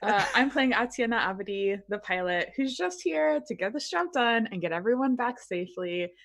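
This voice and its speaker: A woman chats casually as one of the players in a tabletop game. Her voice is a little higher than average. Her manner is wry and playful. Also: expressive, moderate pace, fairly bright tone. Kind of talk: casual chat.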